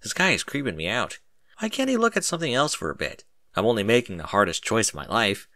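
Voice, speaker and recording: A man narrates a story in the first person with dramatic expression. His voice is fairly low and bright, with lively swings in pitch. The sound is dry and close. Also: quick pace, no echo.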